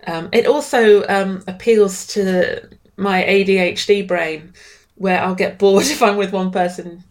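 A woman writer talking about her work in an interview, warm and excitable, laughing often and sometimes repeating her words. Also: mid-range voice, a little slow. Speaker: writer guest. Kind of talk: casual interview conversation.

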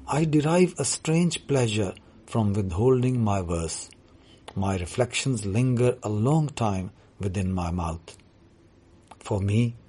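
A man whose first language is Urdu, talking about his writing as a poet in a measured, deliberate way. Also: fairly low pitch, dark tone, husky. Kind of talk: reflective talk on his writing.

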